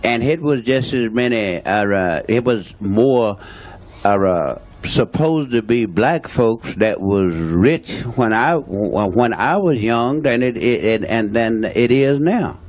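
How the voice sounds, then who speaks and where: A man's voice: medium pitch, expressive, takes it slowly, a little mellow. A man, speaking bluntly and emphatically as a guest on a talk show and repeating his points. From Louisiana, USA. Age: 60s. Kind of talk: impassioned talk show statement.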